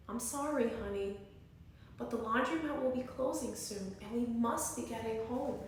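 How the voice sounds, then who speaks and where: A gentle, reassuring mother from a picture book voiced by a woman reader, patient but insistent. Her voice is fairly high, with strong room echo and some distance from the mic. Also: normal speed, lightly acted. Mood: gently firm. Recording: very echoey room, distant mic, faint noise.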